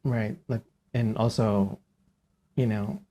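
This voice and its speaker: A man from Indonesia with an Indonesian accent, hosting a casual interview and haltingly searching for words, often repeating himself. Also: on the low side, fairly even intonation, warm tone, dull audio. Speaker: podcast host, interviewer.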